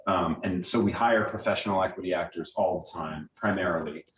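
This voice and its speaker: An echoey, slightly distant recording of a man with a lowish voice. He answers questions informally as a guest speaker, explaining in a conversational way with some hesitant fillers. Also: a little fast, a bit bright. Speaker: theater artistic director, guest speaker. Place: meeting room with an audience, also on Zoom. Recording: echoey room, distant microphone.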